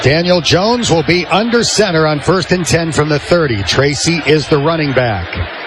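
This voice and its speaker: A man with an American accent doing live football play-by-play on the radio from a stadium booth, in short crisp phrases with names drawn out for emphasis. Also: on the high side, lively intonation, normal speed, a crowd in the background, noisy background.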